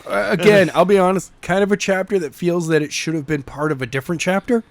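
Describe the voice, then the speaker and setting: A man's voice: high voice, fast talker, dry recording. A man working as an amateur narrator and podcast co-host, reading a novel and chatting casually about it.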